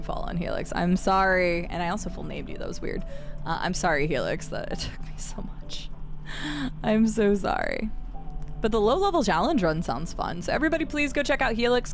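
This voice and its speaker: Young midwest woman streaming, laughy and sweary, chatting w/ chat, music going clearly behind, normal pitch. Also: lively intonation, a little fast. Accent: Midwestern American. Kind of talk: casual live-stream chat while playing.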